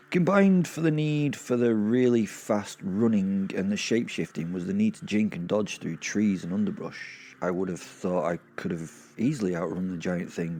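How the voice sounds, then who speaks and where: A low-pitched, wry Viking warrior with a wolf soul acted by a man tells his own hunt as an audio drama. He rambles conversationally, swears freely and mocks himself in asides, with clear ambient noise behind him. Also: dark tone. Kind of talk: first-person audio drama storytelling.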